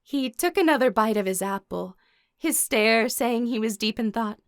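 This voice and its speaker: A woman's voice: a little high, expressive, moderate pace, dry recording, clean studio sound. A woman playing a composed, caring teenage warrior girl, narrating an audio drama in the first person and speaking her dialogue. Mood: thoughtful, reassuring.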